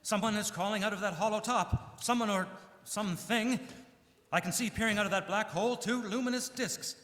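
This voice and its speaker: A man's voice: sits high, fairly quick, a bit bright. A man playing a horrified radio news reporter giving a stammering, dramatic eyewitness account in a live old-time radio drama. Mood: awed horror. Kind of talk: live radio drama acting, 1930s news bulletin manner. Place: live stage performance in a church. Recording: reverberant church hall.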